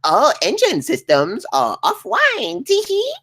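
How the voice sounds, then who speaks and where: A woman's voice: high voice, wildly swooping pitch, slow, fairly bright tone, heavily acted. A woman voicing a cheery, cutesy female ship computer with a chirpy manner in a comedy table read. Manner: chirpy, giggly.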